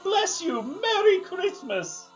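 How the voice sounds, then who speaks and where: An actor playing an exuberant, reformed old miser in a theatrical family radio drama, exclamatory and effusive. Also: male voice, extremely high pitch, sing-song, slow pace, highs cut off, soft background music, exaggerated voice. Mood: warm, eager. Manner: exclamatory, effusive, chuckling, repeats himself. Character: old miser reborn as giddy, exuberant, warmhearted gentleman.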